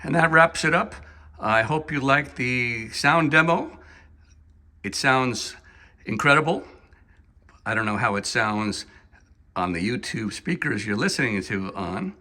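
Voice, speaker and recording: A man's voice: mid-range voice, lively intonation, unhurried, pauses often, pushed and bright. A man giving a casual, explanatory audio gear demonstration, speaking in short, piecemeal phrases as a reviewer. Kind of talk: casual explanatory product demo.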